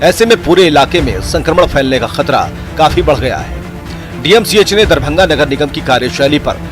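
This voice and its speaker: A man's voice: fairly high pitch, animated, normal speed, over music. A man with an Indian accent reading a scripted television news voice-over. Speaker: news narrator.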